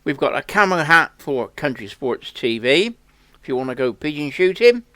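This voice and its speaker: A man's voice: a little high, sing-song, moderate pace, pushed and bright. A man hosting a country sports channel, making an earnest, plain-spoken campaign appeal to viewers. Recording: echoey room.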